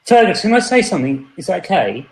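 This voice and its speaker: A man's voice: high-pitched, sing-song, fast talker, creaky. A man hosting a podcast in casual chat, asking follow-up questions and trying the exercises out. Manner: inquisitive, asks follow-up questions, tries exercises aloud. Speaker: podcast host. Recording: echoey room.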